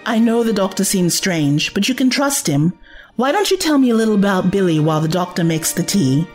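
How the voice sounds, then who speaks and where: A woman's voice: medium pitch, lively intonation, a little fast, darkish tone, soft background music, lightly acted. A woman playing an investigator's earnest, reassuring companion in an audio drama, sympathetic and direct.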